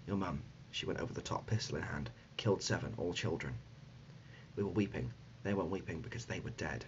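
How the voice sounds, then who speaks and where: A man playing an absurd, deadpan dad in an ASMR comedy roleplay, speaking haltingly and gently, often dropping to a whisper. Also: low-pitched, voice pushed down, natural voice. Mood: grave reminiscing. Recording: dull lo-fi audio, loud background noise.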